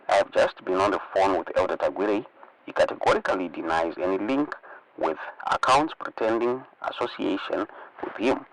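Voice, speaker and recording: A man's voice: fairly low pitch, fairly bright tone, distant mic, slight background noise, rough recording. A Zimbabwean man whose native language is Shona, presenting online news commentary and reading reports aloud.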